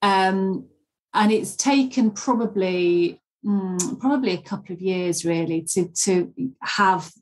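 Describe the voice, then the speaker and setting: Woman, middle-aged, husky voice, casual, restarts. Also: mid-range voice, takes it slowly, low-quality recording. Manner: chatty, frequent restarts and fillers. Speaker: English pronunciation teacher, guest. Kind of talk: conversational podcast interview.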